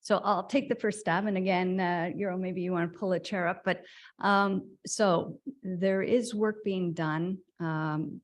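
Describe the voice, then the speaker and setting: Low-voiced woman explaining. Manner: measured, explanatory, with fillers. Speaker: conservation authority staff. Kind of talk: explaining at a board meeting.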